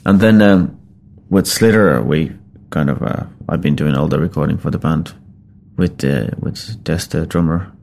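A middle-aged Finnish-born man with a Finnish accent, a rock musician talking casually in English, his second language, in a halting, rambling, self-deprecating way. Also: rumbling low, creaky, darkish tone. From Finland. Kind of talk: casual spoken account.

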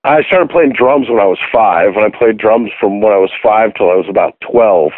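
A man's voice: fairly low pitch, moderate pace, no echo. A man from Washington State, a musician and producer, chatting casually in a phone interview, rambling and correcting himself as he goes. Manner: relaxed, rambling, self-correcting. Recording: tinny phone line, dry.

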